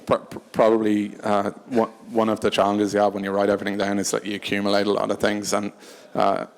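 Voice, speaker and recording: A man speaking unscripted on a discussion panel, hesitant and halting, often restarting his phrases. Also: lowish voice, completely flat delivery. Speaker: panelist from a tech company.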